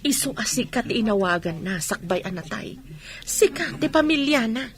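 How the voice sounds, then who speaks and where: A woman's voice: on the high side, animated, moderate pace. A woman playing a sympathetic confidante in a Filipino radio comedy drama, speaking with a Filipino accent. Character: sympathetic female confidante of a widow.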